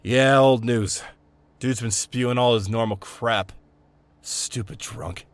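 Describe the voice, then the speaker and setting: An actor playing a tough young street vigilante, blunt, slangy and fed up, in a comic-book audio drama. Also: male voice, mid-range voice, slow pace, natural voice. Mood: subdued.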